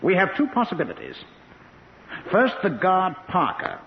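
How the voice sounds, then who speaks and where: A man's voice: sits high, huge pitch swings, vocal fry, exaggerated voice. An actor playing an authoritative male scientist, formal and grave, in a vintage radio drama. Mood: deliberating. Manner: formal, measured, grave, commanding. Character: authoritative, deliberate male scientist directing a secret experiment. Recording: muffled old radio recording, long reverb.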